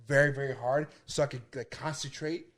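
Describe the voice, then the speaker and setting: Man, young adult, podcast co-host, stutter, relaxed casual chat. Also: medium pitch, fairly quick. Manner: stutters, repeats words, laughs along.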